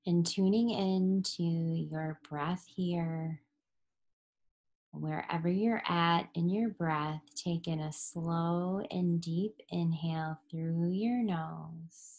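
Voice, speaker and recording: Very slow, creaky woman guiding relaxation. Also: fairly low pitch, steady tone, a little mellow. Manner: soothing, unhurried, with long pauses. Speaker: yoga nidra instructor. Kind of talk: guided relaxation meditation.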